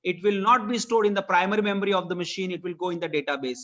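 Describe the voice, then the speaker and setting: A man's voice: high voice, steady tone, rapid-fire, a bit bright. A man with an Indian accent teaching programming in a live online lesson, explaining didactically and repeating phrases for emphasis. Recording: dull, lo-fi online meeting audio.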